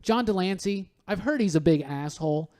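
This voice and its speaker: A man with a somewhat high voice co-hosts a casual podcast. He talks with enthusiasm, using loose slang and the odd swear word. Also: animated, normal speed, darkish tone. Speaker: podcast co-host. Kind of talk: casual chat.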